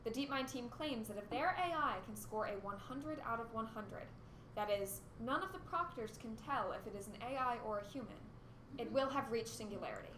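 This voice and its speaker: A woman's voice: a little high, expressive, brisk, lightly acted. A woman acting as a cynical, irritable female radio co-host in an amateur radio play, with an exasperated, sardonic manner. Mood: presenting. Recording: roomy echo, faint background noise.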